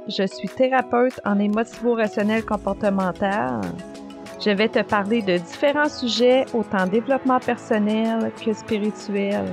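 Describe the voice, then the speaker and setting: A woman with a Québécois French accent, reading a gentle scripted intro to her podcast as host. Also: medium pitch. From Quebec. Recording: intro voice over clear music. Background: music.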